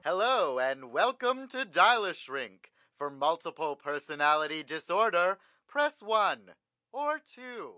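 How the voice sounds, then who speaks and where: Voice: medium pitch, big pitch swings, a little slow, sharp tone, no room sound. A performer voicing an automated phone hotline greeter reading a recorded menu announcement. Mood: brisk, scripted.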